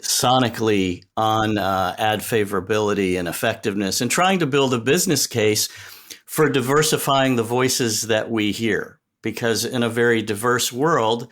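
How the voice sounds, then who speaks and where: A middle-aged or older man giving thoughtful, articulate interview answers as a podcast guest, in measured, reflective phrasing. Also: fairly low pitch, a bit bright. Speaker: podcast guest, sonic strategy expert.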